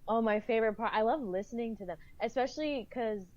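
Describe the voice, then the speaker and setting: A woman's voice: on the high side, fast, a little mellow, muffled recording. A woman guest on a podcast round-table, talking in a thoughtful, rambling way with small laughs. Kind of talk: casual round-table chat. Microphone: video call.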